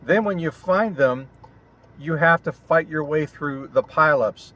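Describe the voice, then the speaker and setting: A man talking casually and explanatorily in a vlog recorded inside a car, in short phrases with pauses. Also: fairly high pitch, sharp tone. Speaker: vlogger and amateur radio operator. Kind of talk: casual vlog talk to camera. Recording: clear road and vehicle noise. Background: car and outdoor ambience noise.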